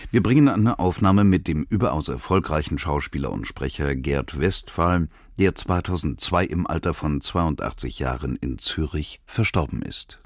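Very deep-voiced man, dry studio sound. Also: animated, moderate pace, hardly any pauses, dry recording. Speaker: radio presenter introducing a literary reading. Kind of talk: scripted narration, radio introduction.

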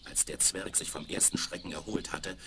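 A man's voice: rumbling low, little pitch movement, moderate pace, vocal fry. A man reading a scripted fairy tale for children as the narrator of a radio play. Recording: crackly, very noisy 1970s vinyl record.

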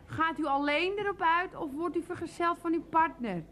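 A woman's voice: extremely high pitch, normal speed, fairly bright tone. An actress playing an overeager, intrusive saleswoman, gushing and formally polite as she over-explains in a comic radio play. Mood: gushing, eager. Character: overeager, intrusive, chatty department-store saleswoman.

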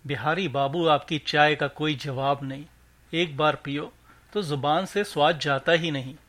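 A man voicing a businesslike male shop owner, brisk and direct, in a story reading. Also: fairly high pitch, fairly even intonation, a bit bright. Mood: friendly. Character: businesslike male medical shop owner, friendly but unyielding.

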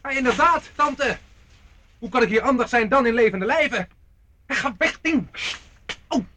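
A man's voice: extremely high pitch, lively intonation, unhurried, bright, forceful tone, exaggerated voice. An actor playing a grumpy, exasperated young man in a radio play, grumbling and sarcastic, over faint noise. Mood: exasperated, flustered. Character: grumpy, exasperated young nephew lost in the dark.

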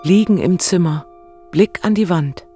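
A woman reciting poetic fragments in a radio play, searching and meditative, with deliberate, halting phrasing. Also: on the low side, over music, natural voice.

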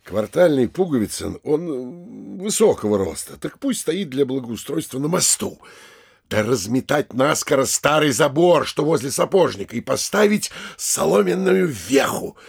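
A man's voice: mid-range voice, sing-song, normal speed, creaky, breathy edge, heavily acted. A man playing an anxious, scheming provincial governor in a comic audio play, barking orders and muttering asides. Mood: anxious, commanding. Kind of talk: theatrical comedy audio drama acting.

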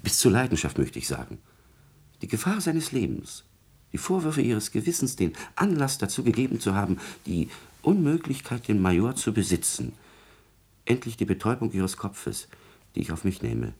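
An actor playing a servile, scheming court secretary in a classical radio drama, insinuating and calculating in a formal, obsequious manner. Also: male voice, lowish voice, wildly swooping pitch, moderate pace, gravelly, a little mellow, husky, exaggerated voice. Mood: coldly calculating.

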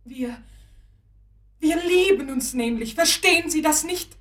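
A woman's voice: high voice, unhurried, a bit bright. An actress playing an earnest, trusting young woman in love in a German radio play, with polite and emphatic speech. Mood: indignant. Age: young adult.

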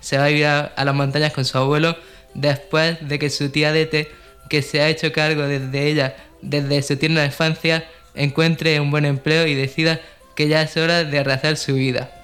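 A man from co-hosts on radio. His pitch is a little higher than average, and he moves between informal conversation and scripted narration. Soft music is audible behind him. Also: flat delivery, normal speed. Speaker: radio show co-host. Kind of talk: casual radio chat with some scripted narration. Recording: faint music under the voice. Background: faint music.

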